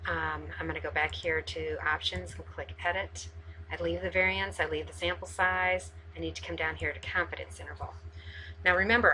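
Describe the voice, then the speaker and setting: Low, bright-voiced woman teaching step by step. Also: a little hiss or hum, rough recording. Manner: methodical step-by-step explaining, addressing students. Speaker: math teacher. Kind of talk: instructional screencast walkthrough.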